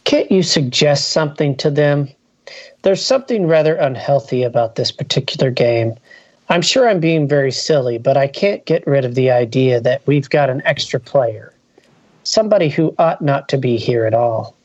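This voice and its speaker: A podcast reader voicing a kindly woman guest at a party game in a ghost story, read in a gentle, hushed storybook way. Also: mid-range voice, lively intonation, normal speed, no echo, lightly acted. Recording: dull lo-fi recording.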